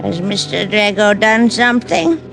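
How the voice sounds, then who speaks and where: A woman's voice: medium pitch, lively intonation, unhurried, gravelly, over music, poor audio. A woman playing a meek old flower seller in a vintage radio drama. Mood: polite, wary. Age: older. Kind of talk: 1940s-50s radio acting.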